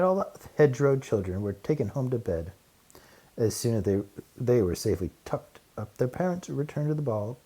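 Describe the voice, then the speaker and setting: A man narrates a children's story with a dark, mellow tone. His voice has a husky grain and sits at a middling pitch, with the expressive delivery of a read-aloud. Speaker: storybook reader.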